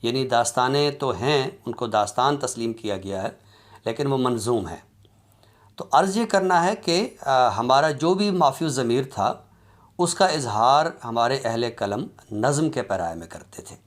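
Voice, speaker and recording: Measured male Urdu literature lecturer. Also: mid-range voice. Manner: measured, deliberate delivery with pauses and drawn-out fillers, recites couplets. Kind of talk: formal academic lecture.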